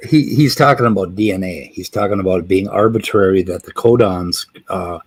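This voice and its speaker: Low husky man, dryly sardonic. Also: fairly quick. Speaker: host. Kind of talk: casual panel discussion.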